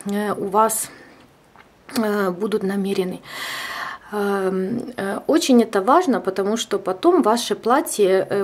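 Female crochet tutor, Czech accent, halting delivery with frequent "eh" fillers. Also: mid-range voice. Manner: hesitant, many filler sounds. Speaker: crochet teacher. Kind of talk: tutorial explanation. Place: indoors at a table.

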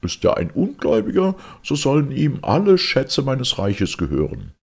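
A man voicing a royal messenger in a fairy tale, loudly proclaiming a sultan's decree. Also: medium pitch, huge pitch swings, moderate pace, creaky, husky, pitched above the performer's own voice, full character voice. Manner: loud, declamatory.

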